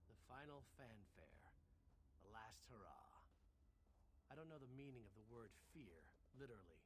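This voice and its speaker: An actor playing a swaggering, jaunty fantasy game adventurer with dramatic, punchy phrasing. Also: male voice, on the low side, expressive, moderate pace, natural voice, rough recording. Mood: nervous bravado. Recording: clear ambient game noise behind.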